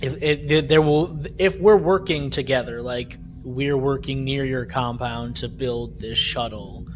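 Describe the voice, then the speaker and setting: A man's voice: mid-range voice, a little slow, a little hiss or hum. A man co-hosting a podcast in casual discussion, retelling scenes and often checking his points with "right?". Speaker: podcast co-host. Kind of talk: casual discussion recapping an audio drama.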